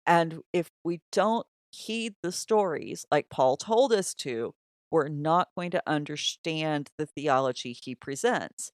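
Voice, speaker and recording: A woman's voice: deep voice, expressive, takes it slowly, no echo, studio quality. A woman co-hosting a podcast, talking through scripture in a casual, conversational and explanatory way. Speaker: podcast co-host. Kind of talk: casual Bible discussion.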